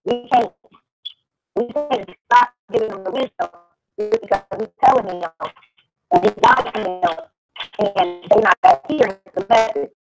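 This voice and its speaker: Middle-aged woman, American, creaky and animated, earnest discussion style with a preachy streak. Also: a little high, measured pace, pauses often, over music, low-quality recording. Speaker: discussion participant and mother.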